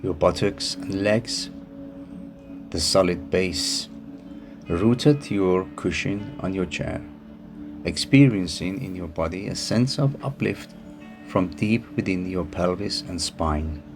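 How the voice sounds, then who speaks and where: A man narrating a guided meditation in a calm, soothing manner with unhurried phrasing. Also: sits low. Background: music behind the voice.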